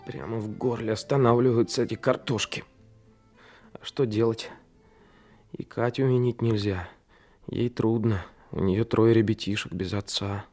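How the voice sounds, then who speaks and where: An actor playing an earnest, anxious, brooding seventeen-year-old trainee detective in a radio drama. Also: male voice, fairly low pitch, steady tone, unhurried, a little mellow, faint music behind. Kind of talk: Soviet radio play acting, inner monologue.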